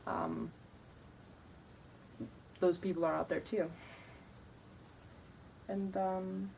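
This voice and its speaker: A young woman with a deeper voice giving frank, chatty advice to camera, sounding tired, with many ums and likes. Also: flat delivery, very slow, vocal fry, darkish tone, recorded from a distance, slight background noise, low-quality recording. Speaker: vlogger giving reenactor tips. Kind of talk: casual advice talk to camera.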